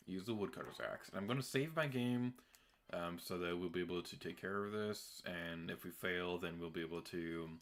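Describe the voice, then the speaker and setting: A young man with a deep voice giving chatty, self-deprecating commentary while streaming a game. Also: gravelly, fairly bright tone. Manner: chatty and self-deprecating, with filler words and laughs. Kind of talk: casual gameplay commentary.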